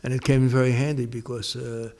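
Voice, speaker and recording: An older man talks through old memories in an unhurried way. He keeps circling back with restarts and fillers, and his voice sits in a mid-range pitch. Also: little pitch movement, a little mellow. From New York, United States. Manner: rambling, many restarts and pauses. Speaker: interviewee. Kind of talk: casual oral-history interview.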